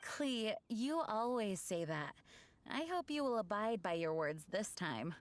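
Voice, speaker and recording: A woman's voice: mid-range voice, big pitch swings, measured pace. A woman voicing a dutiful, caring young knight commander in an anime game, speaking formally and earnestly. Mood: gently stern. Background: music.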